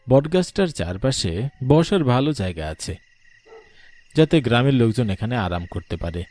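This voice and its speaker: A man's voice: mid-range voice, dark tone. A man reading scripted story narration.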